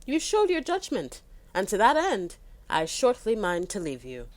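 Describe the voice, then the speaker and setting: A woman's voice: mid-range voice, huge pitch swings, measured pace, no echo. A woman acting a resentful, defiant royal younger brother with pointed, formal verse delivery in a Shakespearean audio drama. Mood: sullen, disapproving.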